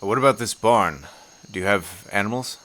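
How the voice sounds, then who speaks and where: A man playing an inquisitive, educated professor in an audio horror play, speaking politely, formally and a little haltingly. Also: lowish voice, pushed and bright. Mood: alarmed, baffled. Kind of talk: audio horror drama acting. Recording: insect-like ambient noise behind dialogue.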